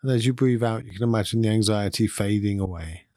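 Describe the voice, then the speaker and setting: A man leading a guided meditation in a calm, soothing, unhurried manner with long pauses between phrases. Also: on the low side, dry recording, clean studio sound. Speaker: meditation guide and podcast host. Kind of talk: guided meditation, gentle instruction.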